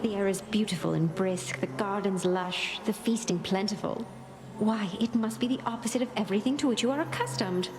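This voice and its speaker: An actor voicing a gracious Olympian goddess who speaks in a sweet, airy, faintly condescending way. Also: female voice, mid-range voice, fairly quick, noisy background, lightly acted.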